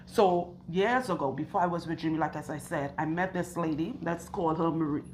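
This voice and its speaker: Slow, husky low-voiced woman. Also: darkish tone, slight background noise. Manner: earnest, chatty, asks questions and recounts stories. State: hoarse, speaking slowly. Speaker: loctician and channel host. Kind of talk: talking to camera while working on a client. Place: hair salon.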